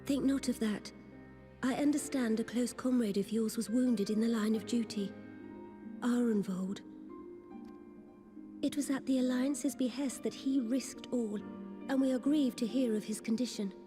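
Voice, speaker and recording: A woman's voice: a little high, unhurried, lightly acted. An actress playing a gracious, dignified female ruler in a video game, speaking with regal, formal archaic diction. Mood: gracious, solemn. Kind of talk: scripted video game drama. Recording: echoing chamber with music behind.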